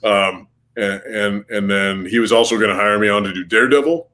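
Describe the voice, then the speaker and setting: A man guests on an interview podcast, talking casually about his work. His voice runs somewhat low. He jokes easily and often makes light of himself. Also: steady tone, a little fast, pushed and bright. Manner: self-deprecating, jokey. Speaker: comic book writer, guest.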